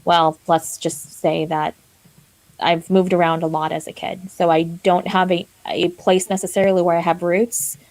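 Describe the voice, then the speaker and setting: A woman from Texas, a guest in casual panel talk, measured and reflective. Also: fairly low pitch, little pitch movement, darkish tone, no echo, low-quality recording. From born in Texas, grew up moving across the US South.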